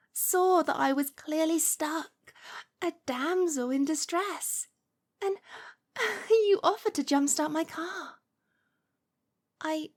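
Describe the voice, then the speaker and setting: Woman acting a high-voiced, lovestruck possessive witch. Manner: cooing, coaxing, condescending with pet names. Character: lovestruck possessive witch, sweetly menacing and obsessive. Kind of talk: ASMR roleplay acting.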